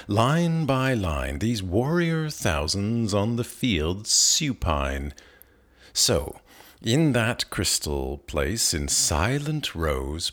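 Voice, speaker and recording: A man giving a measured, expressive, dramatic reading of old narrative verse. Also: on the low side. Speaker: poetry reader.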